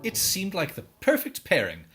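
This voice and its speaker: Man as wry period storyteller, husky tone, highly varied pitch, formal theatrical narration, articulate. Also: wildly swooping pitch, moderate pace, a bit bright, soft background music, full character voice. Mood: measured.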